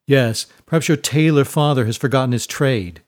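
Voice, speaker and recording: A man's voice: mid-range voice, normal speed, a little mellow, natural voice. A man voicing a sneering, aristocratic schoolboy bully in a storybook, condescending and mocking.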